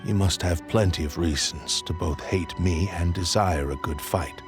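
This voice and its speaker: A man's voice: low-pitched, moderate pace, over music. A man playing the proud, solemn spirit of a cursed samurai sword in a video game, speaking in formal, archaic phrases. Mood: solemn, resolute. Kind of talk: dramatic video game voice acting.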